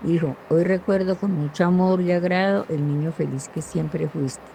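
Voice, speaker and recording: A woman's voice: on the low side, steady tone, normal speed, vocal fry, dark tone, faint music behind, low-quality recording. A woman speaking a tender, heartfelt recorded message to her son. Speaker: mother of the guest.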